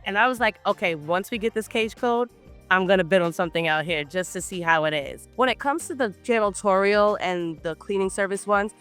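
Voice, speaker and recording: A woman from Barbados, a guest in a casual business chat, telling her story in a relaxed, matter-of-fact way. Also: mid-range voice, brisk, clean tone, soft background music.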